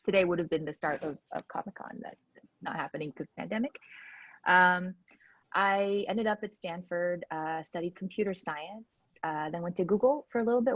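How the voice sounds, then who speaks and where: A woman's voice: lowish voice, dry recording. A woman from Hong Kong on a panel, talking casually about her background with many fillers. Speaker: venture investor panelist. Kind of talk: panel talk, personal background. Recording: dull lo-fi sound.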